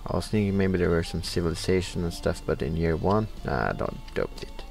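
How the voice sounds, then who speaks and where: Low-voiced Norwegian man narrating a game. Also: lively intonation, brisk, gravelly, a little mellow, faint music behind. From Norway. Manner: hesitant, many uh fillers, reading in-game text aloud. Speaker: let's play host. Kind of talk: casual game commentary.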